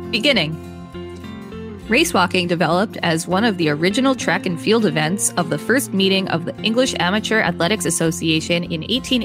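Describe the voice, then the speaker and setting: Female narrator, lower register, scripted informational read with occasional casual asides, faint guitar underneath. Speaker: co-host reading an article aloud. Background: faint guitar music.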